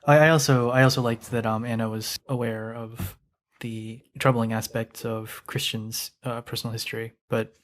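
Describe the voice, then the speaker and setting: A man co-hosting a podcast, chatting casually about a book in a slightly halting, hesitant way. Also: medium pitch, little pitch movement. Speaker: podcast co-host.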